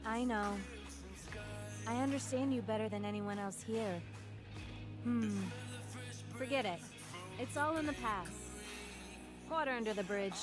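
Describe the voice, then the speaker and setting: A woman's voice: a little high, measured pace, pauses often, close to an everyday voice. A woman voicing a wistful, playful young woman in a video game, bidding comrades farewell. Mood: wistful, resigned. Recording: music behind the voice. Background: music.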